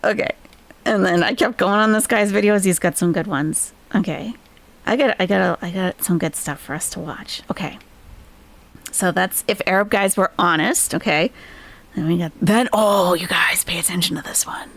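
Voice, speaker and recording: A woman's voice: lowish voice, normal speed, gravelly, a bit bright, no echo. A woman host giving casual reaction commentary, chatty and explaining clips to her viewers.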